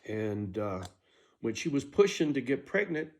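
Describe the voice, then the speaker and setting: A man's voice: mid-range voice, sing-song, measured pace, a little mellow. Blunt, profane middle-aged man telling stories. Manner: blunt, profane, conversational with frequent "right?". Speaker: storyteller telling his own life story. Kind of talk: casual personal storytelling.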